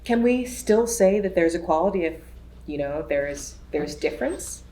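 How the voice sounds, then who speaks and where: A woman's voice: lowish voice, expressive, normal speed, mellow. A woman panelist thinking aloud in a chatty, musing way, with frequent restarts. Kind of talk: casual panel discussion. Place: lecture hall. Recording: echoey hall with faint noise.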